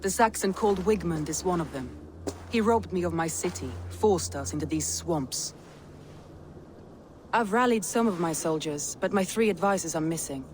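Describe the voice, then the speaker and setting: A woman's voice: on the low side, expressive, moderate pace, darkish tone, a little hiss or hum, natural voice, low-quality recording. A woman voicing a proud, resolute Viking lord and war leader in a video game, commanding, guarded and earnest. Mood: proud, frank. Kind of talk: video game dramatic acting. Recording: music behind the voice.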